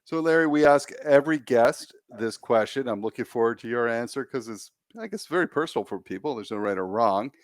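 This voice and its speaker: A man's voice: mid-range voice, expressive, fairly quick, few pauses, dry recording, clean studio sound. A man hosting a podcast, introducing and questioning his guest in an upbeat, encouraging way. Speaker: podcast host. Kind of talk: friendly interview hosting.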